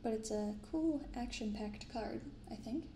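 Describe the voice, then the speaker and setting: A young woman speaking softly in an ASMR style, unhurried as she comments and reads aloud. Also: a little high, roomy sound, noisy background. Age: young adult. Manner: unhurried, hushed commentary and reading from cards. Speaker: host of a card-opening channel. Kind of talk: soft-spoken ASMR. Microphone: binaural microphone.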